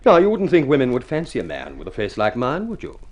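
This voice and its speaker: A man's voice: medium pitch, wildly swooping pitch, fast talker, darkish tone. An urbane, self-mocking storyteller in an old radio drama. He speaks floridly and wryly, with a mid-range voice that swings widely in melody. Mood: wry, boastful. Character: ugly, eloquent, self-mocking male adventurer and storyteller. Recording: vintage radio drama recording.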